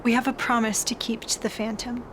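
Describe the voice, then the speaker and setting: An actress playing an anxious, caring young heroine in a radio drama, speaking with earnest pleading. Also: female voice, on the high side, level tone, brisk, noisy background, lightly acted. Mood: distressed, pleading. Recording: dramatic music behind.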